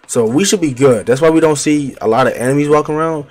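A young man livestreaming a game on a headset, chatting loosely in slang and trash-talking enemies, his voice deeper while getting over a cold. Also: fast talker, darkish tone. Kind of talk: casual gameplay commentary. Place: home gaming setup, indoors.

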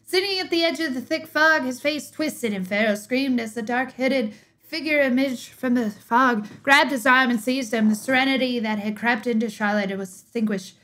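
A young woman giving a dramatic scripted narration, theatrical, with drawn-out emphasis and pauses, close on a streaming mic. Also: high voice, a bit bright. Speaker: streamer reading game lore aloud. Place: small indoor room, livestream setup. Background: music.

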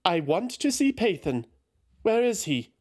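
A man's voice: sits high, big pitch swings, measured pace, pitched above the performer's own voice. A man voicing a distraught young woman who pleads and refuses to accept a death, in an insistent, pleading manner. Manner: pleading, insistent, rising to shouts.

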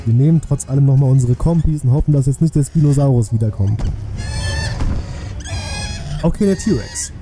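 A male streamer with a mid-pitched voice gives casual commentary on a game. He thinks aloud in colloquial, chatty phrasing as he plays. Music is clearly audible behind him. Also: normal speed, pauses often. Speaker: live streamer hosting a gaming stream. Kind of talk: casual live game commentary with chat replies. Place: small indoor home streaming room.

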